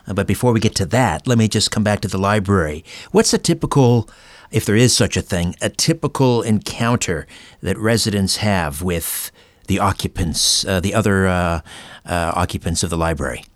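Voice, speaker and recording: A man hosting a conversational interview, putting his questions in halting, deliberate phrases. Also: sits low, dry recording. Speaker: interview show host.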